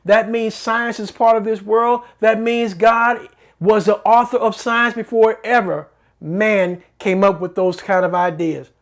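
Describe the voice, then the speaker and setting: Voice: medium pitch, fairly even intonation, measured pace. An earnest, middle-aged host of a faith-based talk show delivers a teaching monologue. The delivery is preacherly, and key phrases are repeated for emphasis.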